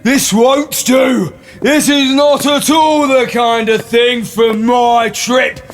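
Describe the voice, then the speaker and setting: An actor playing a merry, blustering, drunken travelling salesman in a theatrical audio drama, declaiming in drawn-out exclamations. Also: very high-pitched, steady tone. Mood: indignant bluster.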